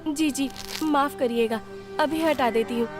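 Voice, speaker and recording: Woman acting a high-voiced naive village wife. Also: a little slow, over music. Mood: anxious, deferential. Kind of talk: animated children's moral story dialogue.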